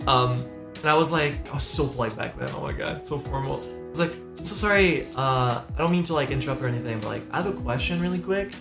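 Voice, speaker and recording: A man chatting casually on a livestream, excitable and slangy, acting out what his friends said as he tells stories. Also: medium pitch, fast talker, creaky. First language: French speaker. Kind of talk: casual chat, animated storytelling. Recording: roomy stream audio with music behind. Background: background music.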